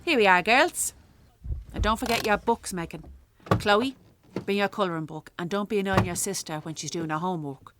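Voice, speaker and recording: Noisy background, Irish accent, woman acting feisty tired mother, mid-pitched, blunt and wry, radio play. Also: moderate pace, close to an everyday voice. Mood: brisk. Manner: wry, blunt, earthy talk. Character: weary, feisty, sharp-tongued working-class Irish mother. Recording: clearly noisy, traffic and ambience behind.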